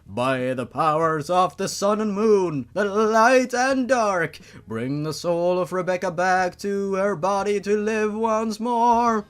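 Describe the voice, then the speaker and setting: Man acting out a solemn, slow-chanting sorcerer father. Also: smooth, faint music behind. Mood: solemn, commanding. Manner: slow, theatrical incantation with booming climaxes. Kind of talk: dramatic fan fiction reading.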